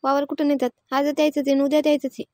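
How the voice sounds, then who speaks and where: A woman's voice: high-pitched, little pitch movement, racing, darkish tone, no echo, studio quality, close to an everyday voice. A woman voicing blunt, exasperated village women in a cartoon family comedy, scolding and complaining in a rural Varhadi Marathi dialect. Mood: matter-of-fact, impatient. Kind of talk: animated family comedy dialogue.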